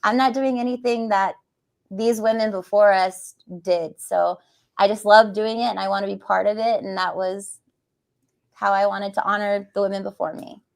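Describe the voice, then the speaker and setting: A woman talks casually as a guest, chatty and quick to laugh. Her pitch sits in the middle for a woman. She is a riding coach speaking at a motorcycle dealership. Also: fairly even intonation, gravelly. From West Texas. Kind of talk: casual interview chat.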